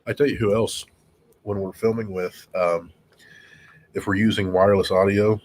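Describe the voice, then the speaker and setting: A man on a guest panel who chimes in with quick wisecracks and short asides. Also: lowish voice, fairly even intonation, a little hiss or hum. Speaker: guest investigator. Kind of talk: casual chat.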